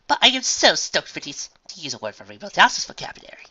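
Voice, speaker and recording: A man voicing a refined, excitable female unicorn fashion designer in an affected, gushing, dramatic way for a story. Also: high-pitched, quick pace, muffled recording, voice pushed up, exaggerated voice. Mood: excited gushing.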